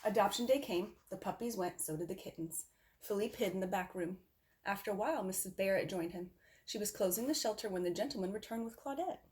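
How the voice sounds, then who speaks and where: A woman reading a picture book aloud to children in an expressive storytelling style. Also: mid-range voice, a little fast. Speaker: storybook reader.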